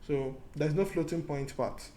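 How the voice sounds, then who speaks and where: A man's voice: on the high side, measured pace, dark tone, a little hiss or hum. A man teaching a programming lesson, thinking aloud as he goes, often restarting and repeating his key points. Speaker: programming tutor. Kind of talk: casual screen-recorded coding lesson.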